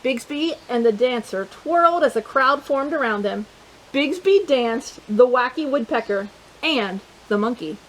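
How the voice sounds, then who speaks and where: High-voiced woman reading a storybook. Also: a little slow, a little hiss or hum, poor audio. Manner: animated and playful, with chatty asides to young listeners. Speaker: children's storytime host. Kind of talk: reading a picture book aloud to children.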